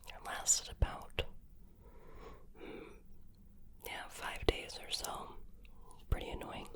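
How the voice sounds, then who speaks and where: A woman whispering gently through a caring ASMR personal-attention roleplay, her voice a little hoarse and sniffly from hay fever. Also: takes it slowly. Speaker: ASMR creator.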